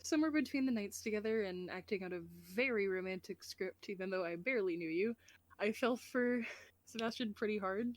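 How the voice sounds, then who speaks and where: A woman's voice: mid-range voice, a little fast. A woman talking casually as a guest voice actor in an outro chat.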